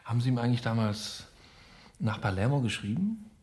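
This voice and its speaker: A man's voice: mid-range voice, big pitch swings, fairly quick, darkish tone, natural voice. An actor in a German radio play as a young con man, chatty and solicitous with a nervously jocular edge. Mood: probing.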